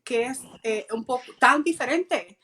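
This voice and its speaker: Higher-voiced woman radio host. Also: measured pace, sharp tone, breathy edge. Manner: hesitant, many fillers and restarts. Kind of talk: live radio interview. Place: mosque.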